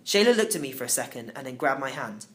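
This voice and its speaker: A man narrating a children's novel in the first person, expressive and theatrical. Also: medium pitch, quick pace. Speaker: audiobook narrator.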